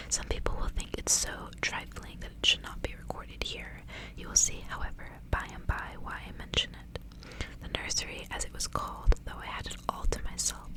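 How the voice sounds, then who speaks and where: Woman, whispered ASMR, breathy unvoiced delivery, reading a gothic novel aloud. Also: poor audio.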